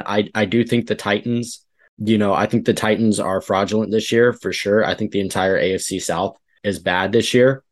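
A man in a mid-range voice talks through a football matchup in a chatty way. He leans on fillers as he builds his case. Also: medium pitch. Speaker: podcast co-host. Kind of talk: casual sports chat.

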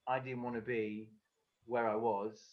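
A man's voice: mid-range voice, flat delivery, measured pace, narrow, tinny audio. A man from England, a speaker coach, talking casually as a podcast guest over a video call, with many fillers and restarts. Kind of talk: casual conversational interview.

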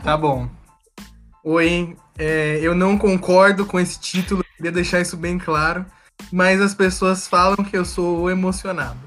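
A man's voice: sits high, steady tone, measured pace, a bit bright, soft background music. A young man with a Brazilian accent, chatting casually as a podcast co-host. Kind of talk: casual chat.

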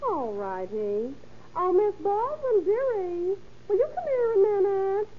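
A woman's voice: very high-pitched, big pitch swings, slow pace, full character voice. An actress playing a chatty, nosy young shopgirl who gushes and rambles in 1930s radio style. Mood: gossipy, eager. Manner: gushing, gossipy, rambling. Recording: muffled, hissy old radio recording.

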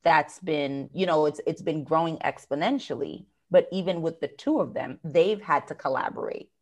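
Low-voiced woman business owner. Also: animated, normal speed, a little mellow. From United States. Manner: thoughtful, with frequent fillers and restarts. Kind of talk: conversational interview.

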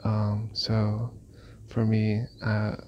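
A man raised in Malaysia recording a calm, reflective voice memo on his phone. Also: on the low side, extremely slow, highs cut off, slight background noise. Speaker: listener sending a voice memo. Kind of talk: casual voice memo.

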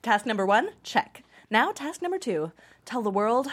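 A woman's voice: fairly high pitch, very animated, moderate pace. A woman playing an upbeat, nerdy 39-year-old in a sitcom table read, chirpy and confiding in asides to the audience. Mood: giddy.

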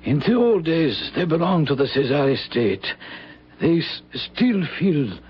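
Husky, slow, fatherly old priest. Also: fairly high pitch, big pitch swings, heavily acted. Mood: grave, gentle. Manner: measured, solemn, fatherly. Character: gentle, grave older village priest. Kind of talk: old-time radio drama acting. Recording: dull, muffled vintage radio recording.

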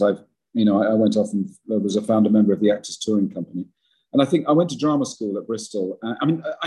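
Rambling older man with a husky big voice. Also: mid-range voice, a little mellow, low-quality recording. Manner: rambling, self-deprecating, many restarts. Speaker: actor guest. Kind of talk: podcast interview.

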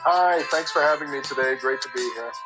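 A man's voice: a little high, a little fast, loud music behind the voice. A Canadian man in his fifties with a slight cold, talking as a guest on a remote interview, eager and often repeating words as he starts a thought. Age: 50s. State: has a cold, a bit raspy.

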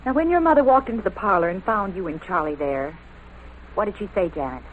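A woman's voice: mid-range voice, lively intonation, fast talker, a little hiss or hum, natural voice. A woman playing a composed newspaper reporter who asks probing questions in a 1940s radio drama. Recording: narrow-band old radio broadcast.

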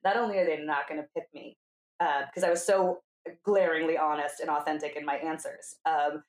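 An American woman around fifty with a slightly lower-pitched voice, recorded in a roomy, echoing space. She talks casually and candidly, slipping into quoted dialogue as she tells her stories. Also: steady tone, fairly quick. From New Hampshire, USA. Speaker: podcast guest, coach. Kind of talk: casual conversational storytelling.

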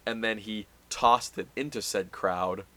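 A man's voice: lowish voice, fairly even intonation, measured pace, fairly bright tone. A man co-hosting a casual chat, recapping events in a rambling way with plenty of fillers. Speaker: podcast co-host.

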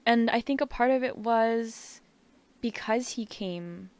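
A woman's voice: fairly high pitch, takes it slowly, a little mellow, smooth, no echo. A woman speaking frankly in a casual conversation, often using filler words. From Canada. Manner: candid, frank, uses filler like.

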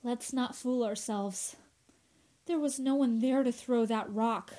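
A woman's voice: a little high, slow pace, warm tone. A woman playing an anxious, insistent young working woman in a radio horror drama, with an earnest, dramatic delivery. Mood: grave, haunted.

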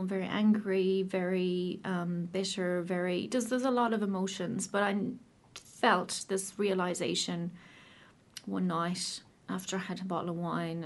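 A woman with an Irish accent, probably in her thirties, sharing her personal recovery story in a casual, hesitant way with many pauses and restarts. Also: lowish voice. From Ireland.

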